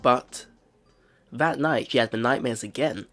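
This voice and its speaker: A man's voice: mid-range voice, a little slow, a bit bright, faint music behind. A man hosting a video game let's play, commenting to viewers and reading story text aloud.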